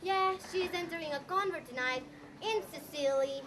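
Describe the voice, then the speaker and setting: A woman's voice: extremely high pitch, moderate pace. A young woman acting a bossy, impatient young woman in a comic school Shakespeare parody, projecting on stage. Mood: coy, pushy. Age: young adult. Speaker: student actor in a school play. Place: school stage, recorded from afar. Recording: distant stage recording with background noise.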